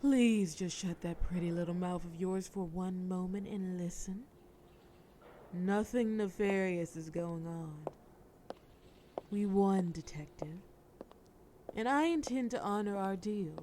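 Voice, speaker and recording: A woman's voice: lowish voice, takes it slowly, long pauses, warm tone, a little hiss or hum. A woman playing a sly, flirtatious mafia boss in an audio roleplay, with a wry, teasing, formal way of talking. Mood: smug, coaxing. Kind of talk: scripted audio roleplay acting.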